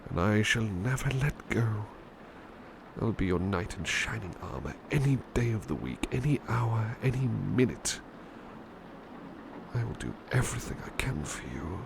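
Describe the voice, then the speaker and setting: Man playing a low, creaky, devoted butler. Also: expressive, background noise. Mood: protective, tender. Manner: hushed, sleepy, halting, often slipping into whispers. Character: devoted, tender male butler comforting a beloved at bedtime. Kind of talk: intimate ASMR roleplay.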